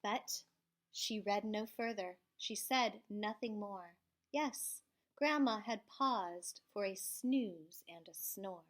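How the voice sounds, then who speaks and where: A woman reading a children's storybook aloud expressively, like a school librarian, with theatrical swells and hushed drops. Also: mid-range voice, slow pace.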